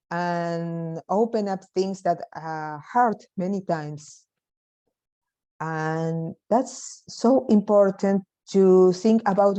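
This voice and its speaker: Latin-accented woman storyteller, mellow and muffled. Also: mid-range voice. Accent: Latin American. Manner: halting, non-native English with small grammar slips. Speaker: speaker at a storytelling talk. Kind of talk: personal anecdote and advice to an audience. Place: online video meeting.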